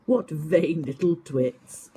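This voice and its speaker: A woman's voice: low-pitched, takes it slowly, mellow, a little hiss or hum. A woman voicing amused, mocking woodland animals in a storybook, jeering at others. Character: mocking woodland animals: stoat, squirrel, laughing bear.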